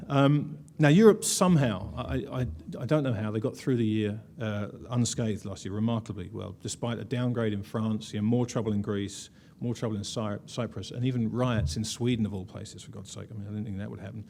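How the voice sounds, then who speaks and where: A man's voice: lowish voice, fairly quick, creaky, few pauses, dark tone. A man presenting slides at a conference, wry and conversational, with frequent "uh" fillers. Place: conference room.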